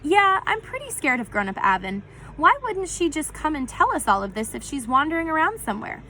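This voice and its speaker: A nervous teenage boy sidekick from a storybook, a little exasperated, acted out by a woman. The voice is high and bright. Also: sing-song, fairly quick, slight background noise, full character voice. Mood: uneasy.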